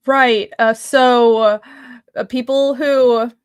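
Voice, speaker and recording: A woman's voice: on the high side, fairly even intonation, extremely slow, clear, resonant tone, dry recording. Earnest young woman, dry close sound. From Taiwan. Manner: earnest, thoughtful, many fillers. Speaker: comic creator guest. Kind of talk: casual interview chat.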